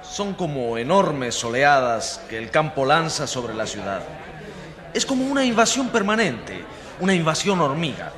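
A man's voice: fairly high pitch, sing-song, normal speed, a bit bright. A man acting an opinionated, self-assured newspaper journalist in a conversational radio drama scene. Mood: explanatory. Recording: reverberant hall sound.

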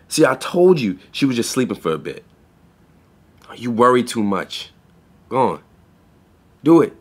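An actor playing a young, patient pet store clerk in a podcast drama. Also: male voice, medium pitch, husky, exaggerated voice. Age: young adult. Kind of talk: podcast audio drama acting.

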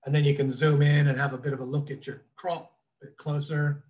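A man's voice: fairly high pitch, flat delivery, brisk, darkish tone. A middle-aged man explaining things informally as a trainer in an online webinar, in a casual, loosely worded way, recorded indoors over a video call. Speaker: drone trainer presenting a webinar. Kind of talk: informal instructional presentation over slides.

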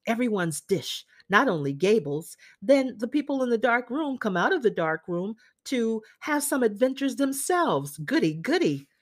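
A woman's voice: fairly high pitch, sing-song, moderate pace, hardly any pauses. A woman reading a play aloud, voicing a restless, dreamy young man who speaks in a brooding, wry way.

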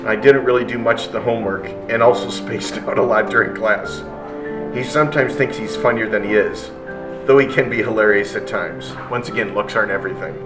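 A man's voice: lowish voice, fairly even intonation, a little fast. A male teacher in his thirties reading reviews aloud and reacting casually, recorded in a classroom from a few feet away. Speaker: math teacher. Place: indoor classroom. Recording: distant mic with music behind.

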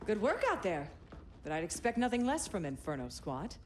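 An actress playing a poised, commanding rebel senator in a video game, measured and authoritative. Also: female voice, medium pitch, very animated, slight background noise, close to an everyday voice. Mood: earnest. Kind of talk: cinematic video game acting.

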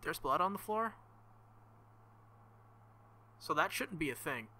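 Bright excitable young man, game commentator. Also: on the high side, slow. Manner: excitable, swings to loud outbursts; profane, joking. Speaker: let's-play host. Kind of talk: visual novel playthrough with commentary.

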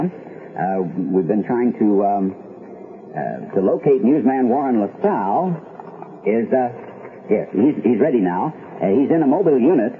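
A male radio anchor trying to keep calm in a 1970s newscast drama. His delivery is halting and flustered, with lively swings in pitch. The old recording is thin and tinny. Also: slight background noise. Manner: halting, hesitant, full of uhs. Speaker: news anchor.

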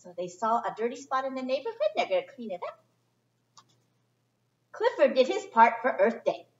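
A middle-aged woman reads a picture book to children in a high, very lively voice. She is expressive and chatty, dropping in informal asides with simple phrasing. The sound is distant and echoey, picked up by a camera mic across the room. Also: moderate pace, highs cut off. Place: indoor library children's area. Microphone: distant camera microphone.

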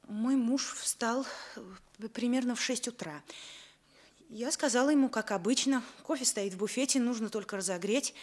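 Nervous widow under questioning, highish female voice, hesitant delivery, 1960s radio drama. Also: darkish tone. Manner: halting, faltering testimony. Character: anxious, hesitant Sicilian widow questioned by police.

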